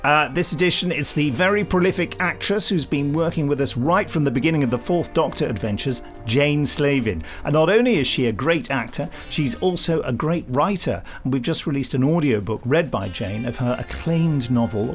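A man's voice: on the high side, expressive, moderate pace, few pauses. Playful middle-aged Englishman co-hosting a podcast, music behind. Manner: rambling, mock-grand, playful. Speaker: podcast co-host. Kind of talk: jokey podcast chat with bits of scripted announcing.

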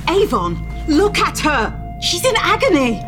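An actress playing a caring, anxious starship crew member, expressive and concerned, in a science fiction audio drama. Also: female voice, high-pitched, measured pace. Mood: alarmed, concerned. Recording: clear music behind.

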